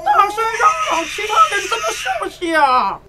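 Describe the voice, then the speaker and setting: An actress playing an indignant, complaining bus passenger in a radio drama. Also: female voice, very high voice, wildly swooping pitch, moderate pace, raised voice, full character voice. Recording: very noisy background sound effects.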